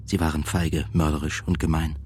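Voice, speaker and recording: Brooding deep-voiced lonely composer. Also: male voice, flat delivery, a little mellow, slight background noise. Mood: brooding, reflective. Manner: brooding, measured, literary delivery. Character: disillusioned, lonely male composer, brooding and self-doubting. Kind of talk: radio play interior monologue and narration.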